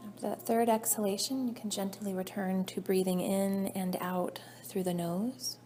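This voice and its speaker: A woman leading a guided meditation as a yoga nidra teacher, soothing and measured, her phrases trailing off softly. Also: medium pitch, flat delivery. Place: indoor class room. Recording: faint background noise, live class recording.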